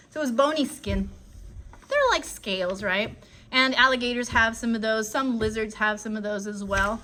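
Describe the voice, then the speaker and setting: A woman hosting an interactive children's lesson on a live stream, telling it like an animated storyteller and often putting questions to her listeners. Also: high voice. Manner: animated storyteller, asks listeners questions, stretches key words. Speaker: children's show host and educator.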